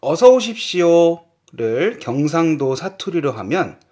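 A man from Seoul co-hosts a relaxed Korean-learning podcast. His pitch is very lively, swinging up and down as he jokes. He teases his co-host and laughs often. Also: slow pace. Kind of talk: casual language-podcast chat.

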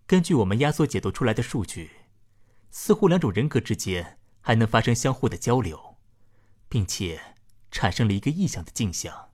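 An actor playing a calm, formal doctor in a science-fiction radio drama, speaking in a measured, polite, clinical way. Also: male voice, on the low side, husky. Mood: clinical, explanatory. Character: calm, formal male doctor delivering a diagnosis.

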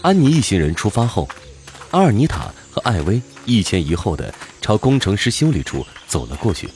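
A man's voice: on the low side, sing-song, fairly quick, a little mellow, soft background music. A man narrating a scripted audio drama as a storyteller. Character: third-person storyteller.

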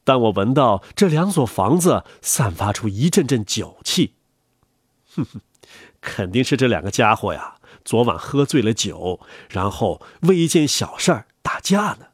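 A man reads a children's story aloud in a gentle, animated way. His voice is husky and its pitch moves a lot. The sound is dry and close, with soft music underneath. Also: normal speed, dry recording. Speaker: storyteller reading to children, the father. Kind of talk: expressive children's story reading.

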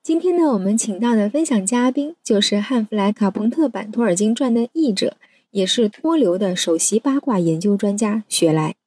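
Bright, crisp-edged female podcast host, slightly husky. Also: mid-range voice, expressive, a little fast. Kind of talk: scripted podcast introduction.

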